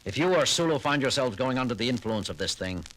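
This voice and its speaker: A man's voice: medium pitch, animated, fast talker, fairly bright tone, poor audio. An actor playing a decisive, authoritative male starship captain in a 1970s audio drama. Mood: commanding. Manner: urgent, authoritative.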